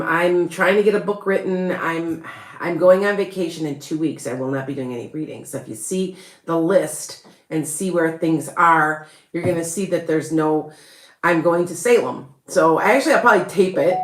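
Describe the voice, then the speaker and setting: Woman, Chicago accent, lowish voice, rambling chatty live-stream host, roomy echo. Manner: rambling and chatty, with frequent laughs and restarts. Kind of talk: casual live-stream chat.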